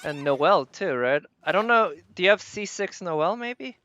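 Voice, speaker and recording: Clear-voiced man casually streaming a game. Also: sits high, expressive, moderate pace, bright, forceful tone, lo-fi sound. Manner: thinks aloud, tentative and musing. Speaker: live streamer playing a video game. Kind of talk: casual gameplay commentary, chatting with viewers.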